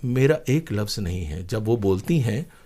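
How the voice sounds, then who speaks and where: A man's voice: fairly low pitch, animated, normal speed, mellow, breathy edge. A man speaking as an interview guest, telling stories reflectively and earnestly, with emphatic repeated phrases and rhetorical questions. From India. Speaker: interview guest, playwright and former radio broadcaster.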